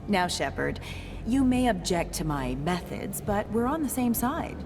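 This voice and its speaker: An actress playing a slick, pushy TV reporter in a video game, insistent and polished. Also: female voice, mid-range voice, sing-song, a little slow, background noise. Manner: insistent, polished on-camera delivery.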